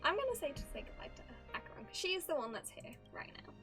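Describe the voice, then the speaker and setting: A woman's voice: high-pitched, big pitch swings, rapid-fire. A woman livestreamer giving casual, chatty game reaction commentary, thinking aloud as she goes. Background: soft game music.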